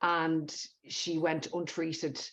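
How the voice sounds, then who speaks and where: A woman's voice: low-pitched, little pitch movement, very slow, noisy background. Woman hosting on a dull lo-fi line. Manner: warm, emphatic, repeats words for stress. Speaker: podcast host. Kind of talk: casual conversational interview. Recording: dull lo-fi audio.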